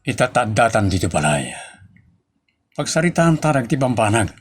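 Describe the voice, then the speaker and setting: A man's voice: mid-range voice, sing-song, moderate pace, slightly rough, faint music behind. A man with a Filipino accent playing a stern, authoritative office boss who scolds his staff in a radio-style drama. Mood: firm, commanding. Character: stern male office boss, commanding and exasperated.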